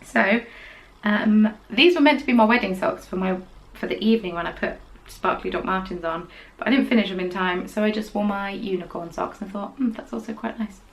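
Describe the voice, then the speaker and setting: A young woman hosting a podcast, chatting casually about her knitting in a rambling way and dropping into quiet, whispered asides. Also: mid-range voice, brisk. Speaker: podcast host.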